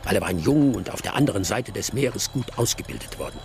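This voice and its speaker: An actor playing a genial older village storyteller in a radio drama, savoring his tale in an unhurried oral manner. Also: fairly low pitch, lively intonation. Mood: absorbed storytelling. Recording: clear music behind the voice.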